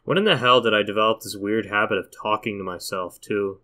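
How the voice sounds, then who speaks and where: Low, deadpan young cool guy voiced by a man. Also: fairly quick. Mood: musing. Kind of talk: animated comic dub voice acting.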